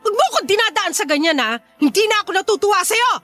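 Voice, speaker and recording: A woman's voice: extremely high pitch, expressive, fast talker, pushed and bright, no room sound, faint music behind, exaggerated voice. An actress with a Filipino accent playing an exasperated, confrontational woman neighbour in a radio drama. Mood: angry, demanding. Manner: indignant, confrontational, demanding.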